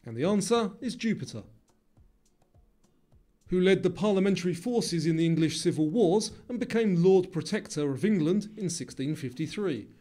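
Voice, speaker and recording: A man reading scripted quiz questions and answers in a measured, formal way. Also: high voice, expressive, dark tone. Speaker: quiz narrator. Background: background music.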